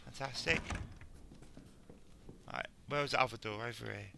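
A man with a sore, croaky throat, nervously muttering his way through a horror game. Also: medium pitch, moderate pace, background noise. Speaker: let's play gamer. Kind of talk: casual gameplay commentary.

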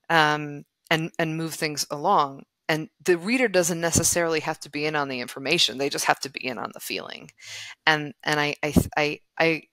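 A woman's voice: low-pitched, fairly bright tone, no echo. A woman novelist who grew up in the former Yugoslavia and speaks Serbo-Croatian natively, talking thoughtfully in a podcast interview with many restarts. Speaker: novelist guest.

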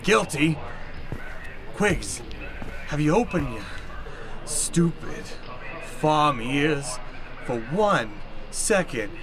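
A man's voice: on the high side, sing-song, drawn-out words, long pauses, crowd noise, heavily acted. A man playing a blustering, eccentric older professor in an improvised radio comedy, theatrical, pompous and scolding. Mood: scolding, insistent. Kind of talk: improvised old-time radio comedy acting.

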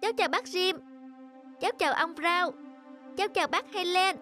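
A woman voicing a sweet, polite little girl full of wonder in an animated Christmas story. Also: extremely high pitch, brisk. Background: music.

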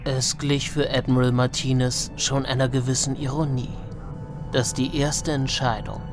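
Male narrator for sci-fi audio drama, medium pitch, clear music bed. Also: level tone, measured pace. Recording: music under the voice.

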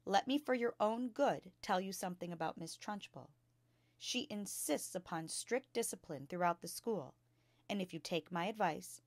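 A woman's voice: lowish voice, dry recording. Woman reading as kindly, earnest young schoolteacher. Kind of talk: children's storybook read-aloud.

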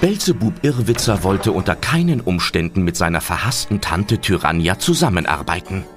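A man's voice: deep voice, sing-song, normal speed, faint music behind. A man narrating a children's fantasy audio drama as a scripted storyteller.

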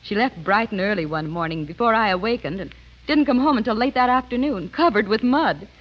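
A woman's voice: mid-range voice, animated, fairly quick. A young woman answering as a guest in a scripted 1930s radio interview. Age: young adult. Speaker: interview guest, personal business manager. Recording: dull old 1930s radio recording.

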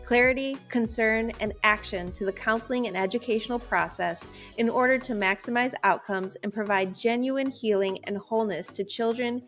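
A woman's voice: fairly high pitch, normal speed, few pauses, faint music behind. A woman hosting a podcast, reading an intro and then talking with a guest over a video call on a headset, upbeat and grateful. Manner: upbeat, warm thanks to guest. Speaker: podcast host. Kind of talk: scripted intro, then interview. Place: home office.

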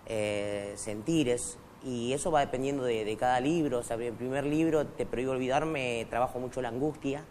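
A man's voice: mid-range voice, normal speed, hardly any pauses, a little mellow, slight background noise. A middle-aged man from Argentina, raised in Bolivia, whose first language is Quechua, talking as a guest writer in a TV studio interview on a lapel mic, with many fillers and restarts. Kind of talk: casual interview talk.

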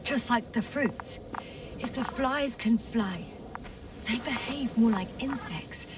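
An actress playing a thoughtful, worried woman scientist exploring space, speaking in a hushed, measured, earnest way in a video game. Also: on the high side, distant mic, over music. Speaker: crew scientist. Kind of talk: dramatic game voice acting.

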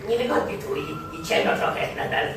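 A performer playing a whiny, timid creature afraid of the dark, complaining haltingly in a comic stage sketch. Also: background noise, natural voice. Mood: fearful, whining. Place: live convention stage. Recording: echoey hall, distant, loud music behind.

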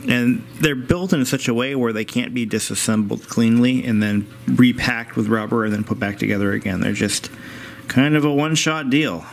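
A man narrating a vintage radio repair in a casual, rambling, halting and plain-spoken way, as a hobbyist vlogger. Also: mid-range voice. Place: indoors in a home. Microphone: handheld camera, operator behind it. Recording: clear background room noise.

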